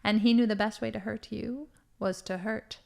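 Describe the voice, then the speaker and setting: A woman's voice: medium pitch, steady tone, normal speed, creaky, natural voice. A woman playing a scheming, smugly menacing female villain in an audio drama, with theatrical, dramatic delivery. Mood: gloating, menacing. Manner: theatrical, gloating storytelling with hushed dramatic emphasis.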